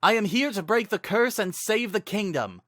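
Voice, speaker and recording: A man's voice: high voice, lively intonation, moderate pace, fairly bright tone, no room sound, clean studio sound, pitched above the performer's own voice. A man voicing a young hero swordsman, brave but nervous, in an earnest, declamatory manner for a fantasy audio story. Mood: defiant shouting.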